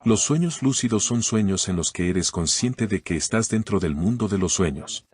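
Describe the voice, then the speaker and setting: Very deep-voiced calm man explaining. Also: normal speed, husky. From Canada. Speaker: interview guest. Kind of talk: explanatory interview answers.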